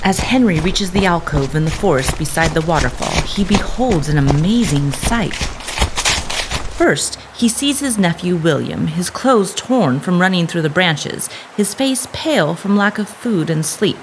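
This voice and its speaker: A woman with a fairly low voice narrates an audio drama. She tells it in a measured, suspenseful storyteller's style over faint background ambience. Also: lively intonation, background noise.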